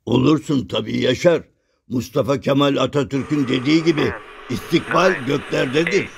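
A man's voice: mid-range voice, moderate pace, gravelly, a little hiss or hum, close to an everyday voice. An actor voicing a jokey, affectionate folk puppet father in a children's cartoon, teasing and full of hearty exclamations. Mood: proud.

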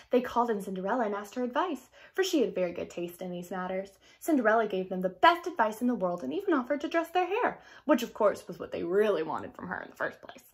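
A young woman reading a picture book aloud to children in an expressive storytelling style, pausing for explanatory asides. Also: fairly high pitch. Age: young adult. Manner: animated, clearly paced storytelling. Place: small indoor room.

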